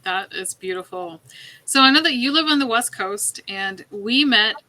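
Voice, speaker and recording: A woman's voice: on the high side, expressive, moderate pace, pushed and bright, poor audio. A woman interviewing a guest in a conversational way as a talk show host.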